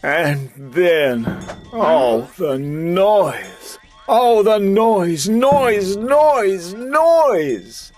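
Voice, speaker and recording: A man's voice: high-pitched, expressive, extremely slow, a little mellow, soft background music. A man narrating a children's story aloud in a theatrical, dramatic style, stretching words for emphasis.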